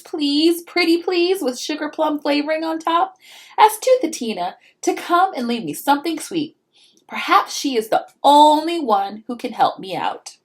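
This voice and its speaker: A woman's voice: high voice, voice pushed up, exaggerated voice. A woman voicing a dramatic little girl who craves sweets and tells her own storybook tale with exaggerated emphasis. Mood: pleading, eager.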